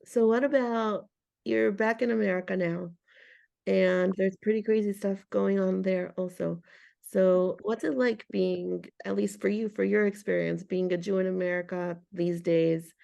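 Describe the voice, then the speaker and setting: A woman hosting a casual interview, reacting briefly and asking gentle, unhurried questions. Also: mid-range voice, fairly even intonation, creaky. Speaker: host. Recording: dull lo-fi recording.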